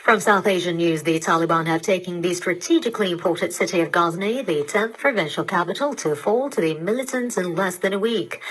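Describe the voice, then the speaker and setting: Low, husky woman reading radio news. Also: fairly bright tone, low-quality recording. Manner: formal, measured headline delivery. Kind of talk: scripted news bulletin reading. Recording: shortwave digital radio broadcast reception.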